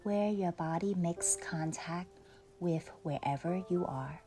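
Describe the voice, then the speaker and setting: A woman leading a guided meditation in a soft, soothing, unhurried way with long pauses, often trailing off into breathiness. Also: on the low side. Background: faint music.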